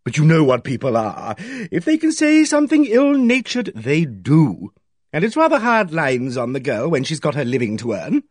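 A man voicing a loud, blunt, self-assured middle-aged woman who booms her opinions. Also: big pitch swings, normal speed, no echo, clean studio sound. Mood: brisk, booming. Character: booming, bossy, complacent middle-aged woman.

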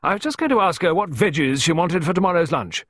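Male reader as a big hearty middle-aged woman, audiobook character, booming, barking, bossy, high-pitched for him, swooping delivery. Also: expressive, fast, a bit bright, no room sound, studio quality. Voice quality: hearty, ringing, almost masculine voice. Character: big jolly brusque woman gardener of about forty.

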